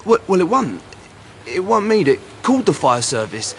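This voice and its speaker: A hapless young-sounding male constable with a high, swooping voice. He is timid and plaintive, stumbling defensively through his answers in a radio drama, with faint noise behind him. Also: very animated, moderate pace. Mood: flustered, defensive. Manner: hesitant, flustered, defensive, plaintive. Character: hapless, dim, timid male patrol policeman.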